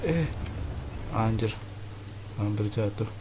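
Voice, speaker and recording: A man's voice: on the low side, expressive, takes it slowly, background noise. A man with an Indonesian accent giving casual, informal commentary as host of a gaming playthrough. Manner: informal, mixes in English slang. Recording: game music behind the voice.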